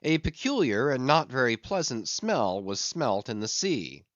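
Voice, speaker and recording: Expressive male audiobook narrator, dry sound. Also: mid-range voice, measured pace, dry recording, muffled recording. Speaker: audiobook reader.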